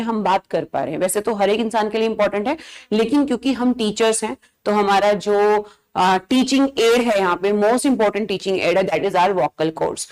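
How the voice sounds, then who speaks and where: A woman's voice: medium pitch, darkish tone, low-quality recording. A woman with an Indian accent speaking as a biology teacher, giving explanatory, emphatic advice and switching into English phrases. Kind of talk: informal educational advice talk to fellow teachers.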